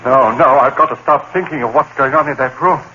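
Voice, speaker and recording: A haunted man accused of murder , voiced by an actor broods over his memories in a genteel, formal way. His voice is bright and edgy. The sound is dull, with the soft hiss of an old radio broadcast. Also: mid-range voice, fairly quick, natural voice. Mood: agitated. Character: haunted male murder suspect, biology teacher. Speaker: lead actor. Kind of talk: 1930s radio drama acting.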